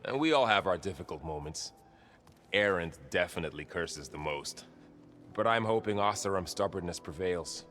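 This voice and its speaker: A loyal young warrior in a cinematic game scene. His voice is on the low side. He speaks calmly and sincerely, with a thoughtful, conversational and steady delivery. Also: moderate pace, soft background music. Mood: lightly amused. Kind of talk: naturalistic video game cutscene acting.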